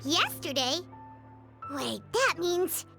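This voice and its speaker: A woman's voice: very high voice, big pitch swings, slow pace, gravelly, heavily acted. A woman voicing a small, curious, easily startled childlike companion creature in a video game. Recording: loud game music behind the voice.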